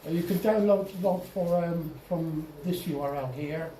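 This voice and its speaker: A man giving an informal software tutorial over slides as a club presenter, halting and often repeating or restarting his phrases. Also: a little high. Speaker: presenter at an amateur radio club. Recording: roomy, distant mic, faint noise.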